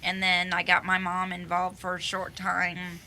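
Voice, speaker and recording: A woman from Kansas who is a guest on a radio interview and gives brief, soft-spoken answers. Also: on the low side, completely flat delivery, a little slow. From Kansas, USA.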